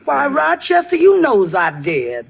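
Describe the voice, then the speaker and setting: On a muffled old radio recording, a feisty, sassy sweetheart woman. The voice is gravelly and swings widely in pitch, with a defiant drawl in 1940s radio comedy style. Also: huge pitch swings, unhurried, heavily acted. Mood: sweet, offhand. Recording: muffled, distant 1940 radio, faint hiss.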